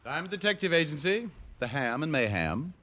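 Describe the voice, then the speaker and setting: An actor playing a breezy, wisecracking private detective in a 1950s radio drama, with an American accent and a flippant manner. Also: male voice, on the high side, sing-song, a little fast, a bit bright. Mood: breezy, joking. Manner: joking, flippant.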